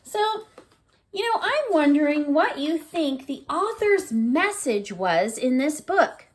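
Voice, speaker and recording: A woman teacher reading a picture book aloud expressively, pausing to put gentle, thoughtful questions to her young listeners. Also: sits high, big pitch swings. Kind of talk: expressive children's read-aloud with asides to listeners.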